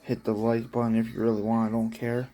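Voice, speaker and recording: A man's voice: medium pitch, completely flat delivery, brisk, warm tone. A man casually reading his own story aloud as a channel host, in an offhand storytelling manner. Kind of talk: casual read-along narration.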